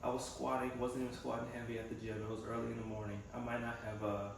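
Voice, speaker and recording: A man's voice: mid-range voice, level tone, quick pace, creaky. A young man talking casually in a personal vlog, reflective and rambling as he thinks aloud in an echoey room. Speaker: vlogger and physical therapy assistant student. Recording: echoey room from a distance, faint noise.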